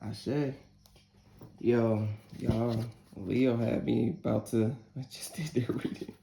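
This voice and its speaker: A woman in her forties with a deep, gravelly voice reads tarot cards and says a prayer. She talks in a chatty, candid way and curses freely. Her voice rings in a roomy, echoey space. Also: steady tone, slow, warm tone, slight background noise. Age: 40s. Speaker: tarot reader. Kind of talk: casual tarot reading with spoken prayer. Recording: roomy echo.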